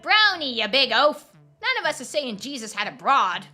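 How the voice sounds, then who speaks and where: A woman's voice: high-pitched, sing-song, normal speed, pushed and bright, soft background music, pitched above the performer's own voice, exaggerated voice. A woman voicing a bossy male alpaca gang leader in a video game, with mouthy, streetwise heckling. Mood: exasperated. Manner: mouthy, streetwise wiseguy talk.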